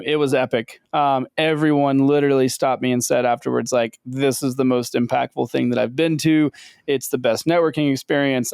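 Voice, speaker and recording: Gravelly upbeat male podcast co-host. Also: medium pitch, a little mellow, no room sound. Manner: upbeat, filler-heavy promoting. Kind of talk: casual podcast chat.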